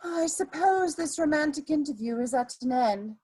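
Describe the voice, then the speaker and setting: Worldly society adventuress, woman performer, high and lilting with big pitch swings; coquettish, theatrical, scheming, for a period comedy play. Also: animated, mellow, rough recording. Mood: cool, menacing. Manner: theatrical, coquettish, drawn-out emphasis.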